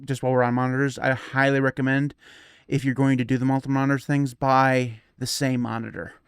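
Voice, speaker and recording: A man's voice: medium pitch, little pitch movement, brisk, clean tone, dry recording, clean studio sound. A man of forty chatting casually as a podcast co-host, rambling with many restarts and easy laughs. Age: 40s. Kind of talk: casual tech chat.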